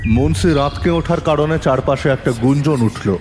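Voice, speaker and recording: A man playing a composed, probing police inspector who narrates his case in a dramatic, deliberate audio-drama style. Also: on the high side, darkish tone, slight background noise, lightly acted. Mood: suspenseful, measured. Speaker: narrator and lead actor in an audio story. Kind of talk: dramatic first-person detective story narration. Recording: long reverb, music underneath.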